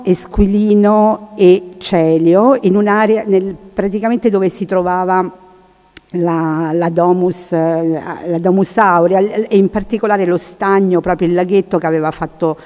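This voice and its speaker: A woman's voice: on the low side, darkish tone. A woman giving an informal lecture in a large hall, often searching for her words. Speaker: conference speaker. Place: large hall, seated at panel table. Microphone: table microphone. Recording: long hall reverb.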